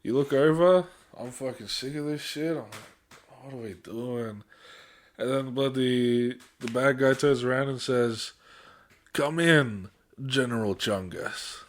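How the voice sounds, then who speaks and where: A man in relaxed podcast conversation. His talk is informal and loose, with a bit of swearing, at an ordinary male pitch. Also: unhurried. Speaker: podcast host. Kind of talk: casual chat.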